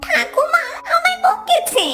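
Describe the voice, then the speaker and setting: A woman's voice: very high-pitched, animated, a little slow, music in the background, voice pushed up. A woman voicing a sulky, crying little tailorbird child in a whiny voice for a children's cartoon. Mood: tearful. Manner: whiny, tearful.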